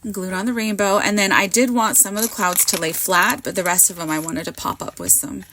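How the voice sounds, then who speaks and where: Hoarse woman chatting through a craft voiceover. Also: mid-range voice, brisk, a bit bright, slight background noise. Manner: rambling, chatty, self-mocking. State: hoarse from a cold. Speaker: card maker.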